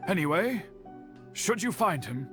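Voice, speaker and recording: A solemn older master swordsmith in a video game, with a somewhat high male voice. He speaks formally and earnestly in measured phrases, with music underneath. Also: very animated. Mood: earnest, protective. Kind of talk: video game character acting.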